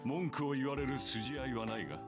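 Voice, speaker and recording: A man's voice: medium pitch, racing, close to an everyday voice. A man playing a stern, sardonic, commanding uneasy ally in a Japanese visual novel. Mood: dryly mocking. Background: loud game music.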